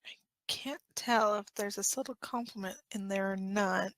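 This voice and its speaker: A woman's voice: medium pitch, normal speed, a bit bright, no room sound, pitched below the performer's own voice, natural voice. A woman voicing a playful, pleading, dramatic young man in a fan-made audio drama. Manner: teasing, dramatic.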